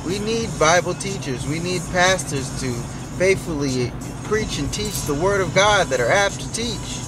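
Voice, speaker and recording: A young man with a fairly high voice talks earnestly into his phone while he drives. He exhorts his listeners and often pauses or restarts a phrase. Loud music plays over the recording inside the car. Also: expressive, low-quality recording. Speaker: vlogger. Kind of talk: casual preaching while driving. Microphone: phone held or mounted on the dashboard. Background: loud music playing in the car.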